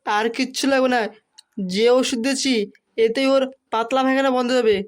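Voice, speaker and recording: A man's voice: extremely high pitch, steady tone, brisk. A man voicing a bossy, reassuring older village shopkeeper in a comic cartoon.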